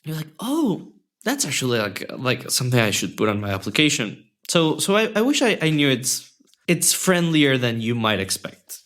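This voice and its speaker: A man's voice: medium pitch, big pitch swings, normal speed, a bit bright. A young man whose first language is Spanish, a podcast interview guest speaking in a friendly, reflective way, often restarting his sentences. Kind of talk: casual interview conversation.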